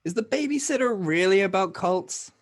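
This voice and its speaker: A young man co-hosting a movie podcast in casual chat, laughing often and tossing in quick asides. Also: high voice, animated. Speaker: podcast co-host.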